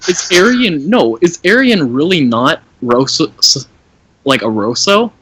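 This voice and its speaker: A man's voice: on the high side, big pitch swings, a little slow, a bit bright, slightly rough, dry recording, rough recording. A man chatting casually as a player in a tabletop game. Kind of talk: casual chat.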